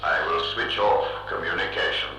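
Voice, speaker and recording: A man's voice: deep voice, music in the background, lightly acted. A man as an echoing, imperious machine mind. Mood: commanding. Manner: emotionless, pompous, formal and deliberate. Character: cold, imperious giant thinking machine ruling Mars. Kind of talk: vintage science-fiction radio drama acting. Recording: echoing old reel-to-reel radio recording.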